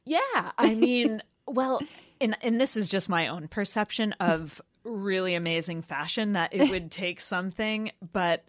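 A woman's voice: medium pitch, a little slow, no room sound, clean studio sound. A woman in casual, friendly chat as a podcast guest, enthusiastic and prone to giggles.

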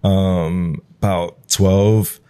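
Soft-toned Nigerian-born man reflecting. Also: low voice, fairly even intonation, drawn-out words, clear, resonant tone. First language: Igbo. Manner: reflective, loose, full of "like" and "you know". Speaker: guest. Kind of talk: conversational interview.

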